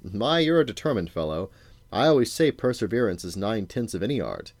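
A man's voice: fairly low pitch, sing-song, brisk, darkish tone, dry recording. A man voicing a genial but condescending old wizard in a storybook, with a silky, purring, mock-affectionate manner. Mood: falsely delighted.

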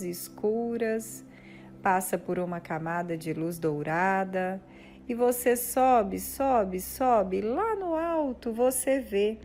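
Brazilian female meditation guide; soothing and relaxed delivery, slow phrases with deliberate pauses. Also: medium pitch, a little hiss or hum. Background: music.

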